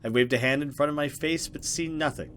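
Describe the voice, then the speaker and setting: A man's voice: fairly high pitch, fairly quick, a bit bright, slight background noise. A man hosting a let's play, reading horror narration dramatically and adding casual commentary.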